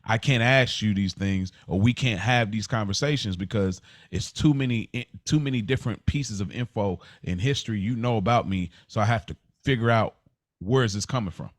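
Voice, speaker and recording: A man's voice: lowish voice, a little fast, no echo, clean studio sound. A man speaking as a podcast co-host in casual, reflective conversation, earnest and conversational in his delivery.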